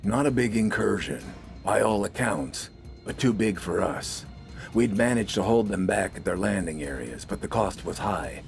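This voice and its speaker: A man's voice: on the low side, lively intonation, normal speed, gravelly, soft background music. Man acting a sardonic, battle-weary army corporal. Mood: grim. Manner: sardonic, heavily ironic, barking. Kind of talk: dramatized science fiction audiobook dialogue.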